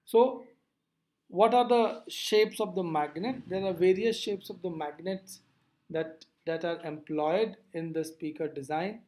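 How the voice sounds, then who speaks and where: A lecturer explaining physics over slides in a deliberate, didactic way, posing questions and then answering them. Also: medium pitch, mellow.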